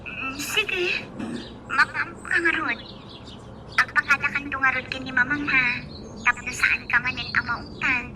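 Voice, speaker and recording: A woman's voice: high-pitched, steady tone, unhurried, long pauses, heavy background noise. An actress playing a lovelorn, concerned young woman in a radio drama. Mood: gentle. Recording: clear music behind the voice.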